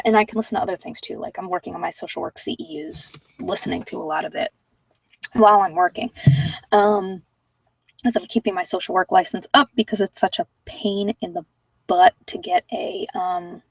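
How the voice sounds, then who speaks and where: A woman's voice: mid-range voice, darkish tone, no room sound, far from the mic, rough recording. A middle-aged American woman chatting casually and candidly to her viewers, rambling from one thought to the next. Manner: rambling, candid, digressive storytelling. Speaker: vlogger chatting with live viewers. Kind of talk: casual chat.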